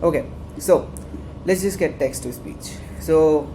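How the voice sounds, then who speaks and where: A man with an Indian accent walks through a coding tutorial in a loose, conversational way, often repeating phrases to drive a point home. The recording carries a clear layer of background noise. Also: on the high side, slow. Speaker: workshop instructor. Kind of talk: casual screen-share tutorial.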